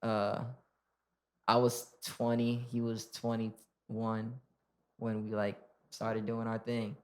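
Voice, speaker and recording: A man's voice: fairly low pitch, takes it slowly. A man in his twenties, a rapper guest, talking casually in an interview and often restarting with "like". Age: 20s. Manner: relaxed, lots of "like" and restarts.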